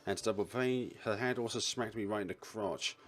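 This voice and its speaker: A man's voice: on the low side, brisk, slightly rough. A man reading a visual novel's narration aloud as a let's play narrator.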